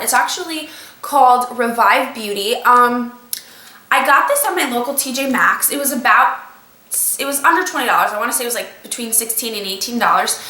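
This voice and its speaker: A woman's voice: a little high, bright, forceful tone, far from the mic. Chatty young woman with a slight cold. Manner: chatty, explains step by step with many fillers. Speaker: beauty vlogger. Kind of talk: casual talk-through tutorial. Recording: echoey room, voice a bit off-mic.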